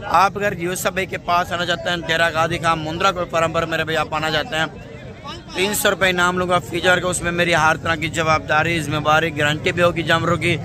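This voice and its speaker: High-pitched emphatic male market vlogger. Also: flat delivery, a little fast. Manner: emphatic, repetitive, addressing viewers as brother. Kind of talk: vlog addressed to camera. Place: open-air livestock market. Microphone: phone at arm's length. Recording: busy outdoor crowd noise.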